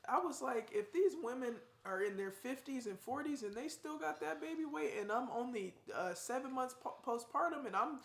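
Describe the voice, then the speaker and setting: A woman's voice: high voice, animated, normal speed, gravelly, few pauses, echoey room. A young woman and new mother chatting casually in a family vlog, talking in a rambling way. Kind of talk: casual chat.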